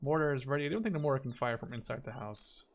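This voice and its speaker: A man's voice: mid-range voice, fast talker. A man in his twenties giving casual strategy game commentary, thinking aloud in an informal way. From Netherlands. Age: 20s. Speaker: gaming video creator. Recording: clear gunfire and artillery noise behind. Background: game battle sounds, gunfire, artillery.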